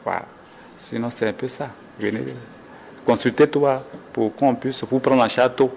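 A man speaking as a doctor in a health interview, explaining slowly with many fillers and restarts while advising listeners. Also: medium pitch, fairly even intonation, vocal fry, darkish tone, big-room sound, a little hiss or hum.

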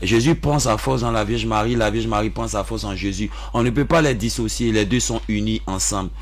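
A man speaking earnestly about faith as an interview guest, measured and repeating phrases for emphasis. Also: on the low side. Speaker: theology student and religious brother, guest. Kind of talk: earnest religious interview talk.

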